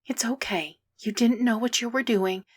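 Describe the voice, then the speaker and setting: A woman reading as a calm, soothing aunt. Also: fairly high pitch, normal speed, voice pushed up, lightly acted. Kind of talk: podfic character reading.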